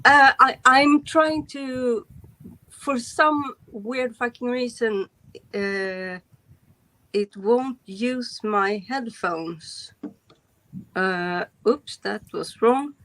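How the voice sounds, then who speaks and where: A woman with a Swedish accent, a native Swedish speaker, chatting casually on a livestream, halting, with many fillers and restarts. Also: a little high, pushed and bright, poor audio. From Sweden. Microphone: headset.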